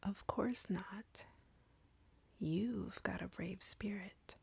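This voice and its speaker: A very breathy, husky vampire woman, played by an actress in an intimate roleplay, drawing out her taunts slowly with a creaky edge. She is arrogant, cruel and flirty, condescending to her captive at close range. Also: medium pitch, a little mellow, no room sound, full character voice. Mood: menacing, taunting, then seductive, controlling. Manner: slow, taunting, condescending, teasing. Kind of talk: scripted roleplay audio, intimate ASMR-like delivery. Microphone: close, dry microphone.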